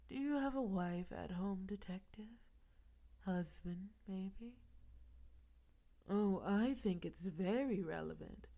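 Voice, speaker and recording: A woman's voice: mid-range voice, a little mellow. A woman playing a flirty, smug mafia boss in a noir audio roleplay, teasing and seductive, often slipping toward a whisper. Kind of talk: scripted audio roleplay in film noir cliches.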